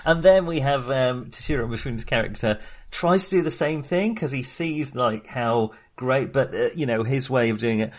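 Male film podcast host. Medium pitch, conversational and keen, loose rambling delivery full of self-corrections. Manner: rambling, enthusiastic, self-interrupting; sweary. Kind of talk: casual film discussion.

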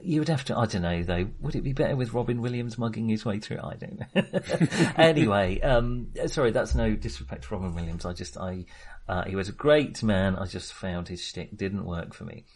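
A man's voice: fairly low pitch, expressive, normal speed, darkish tone, husky. A man co-hosting a casual film discussion, speaking in a hesitant, self-qualifying way. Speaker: podcast co-host discussing films.

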